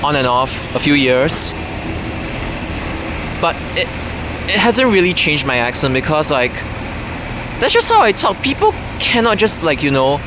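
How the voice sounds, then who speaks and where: A man's voice: fairly high pitch, sing-song, a little slow, pauses often, background noise. A man talking casually to camera in an unscripted, rambling way, full of fillers and restarts. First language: English. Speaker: vlogger.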